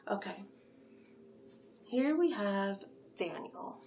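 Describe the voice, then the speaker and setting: A woman playing a flattering, gossipy sphinx matchmaker in a soft-spoken, whispery ASMR roleplay. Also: medium pitch, very slow, slight background noise. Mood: flattering, welcoming. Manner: coaxing, flattering, chatty, whispery in places.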